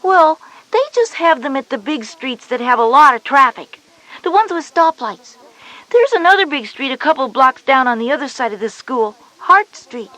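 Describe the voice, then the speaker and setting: A woman's voice: high voice, animated, normal speed, pushed and bright, poor audio. A woman voicing a knowing, patient schoolgirl big sister who explains things in a children's educational story. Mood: patient, instructive. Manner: explanatory, instructive. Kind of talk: scripted children's educational cassette dialogue.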